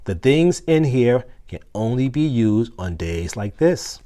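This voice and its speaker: A brisk storybook schoolteacher , a woman as read by a man, firmly directs her class. The delivery is instructive and animated, with pitch that rises and falls widely. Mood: brisk, organizing.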